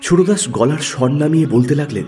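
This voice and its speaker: A man's voice: on the high side, lively intonation, fairly quick, mellow, soft background music. A man narrating a literary story in a scripted audiobook style.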